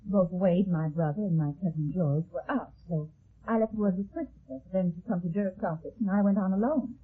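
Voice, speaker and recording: An anxious young heiress in a vintage radio mystery. Her voice is husky and melodramatic, catching and stammering as she talks. The old 1950s recording is thin and narrow. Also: lowish voice, a little fast. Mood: earnest, uneasy. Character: anxious young heiress suspected of murder, pleading. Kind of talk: 1950s radio detective drama acting.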